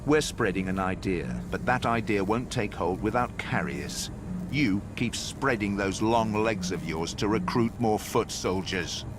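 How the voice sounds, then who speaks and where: Cold villain for a game cutscene: lowish pitch, condescending, grandiose, measured menace, noisy backdrop. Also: male voice, expressive. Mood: cold, contemptuous. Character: cold, contemptuous male villain, grandiose schemer. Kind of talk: dramatic game cutscene dialogue. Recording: noisy background ambience.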